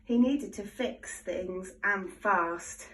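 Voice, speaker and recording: A woman reading a children's picture book aloud in an expressive, animated storytelling manner. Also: medium pitch, drawn-out words, distant mic. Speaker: storybook reader.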